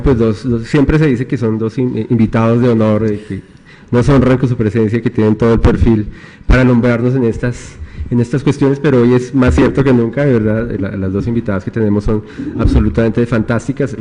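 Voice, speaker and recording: Mellow-voiced man moderating a panel. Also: medium pitch. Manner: hesitant, many fillers and restarts. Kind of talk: introducing guests at a panel. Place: conference room with audience.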